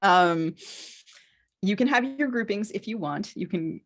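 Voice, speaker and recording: A woman with a middling pitch talks casually on a podcast as co-host and guest. Her delivery is wry and joking, with hesitant starts as she works through her thoughts. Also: expressive, a little slow. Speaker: podcast co-host and novelist being interviewed.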